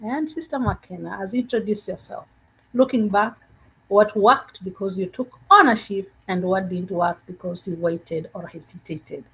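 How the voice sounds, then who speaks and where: A woman hosting a group motivational talk in an expressive, effusive manner. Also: medium pitch, normal speed, slightly rough, poor audio. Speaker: host of a group online meeting.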